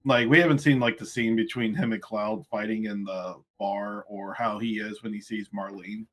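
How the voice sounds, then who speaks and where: Low-voiced man making careful points. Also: fairly even intonation, moderate pace, fairly bright tone. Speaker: podcast co-host. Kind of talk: casual group chat.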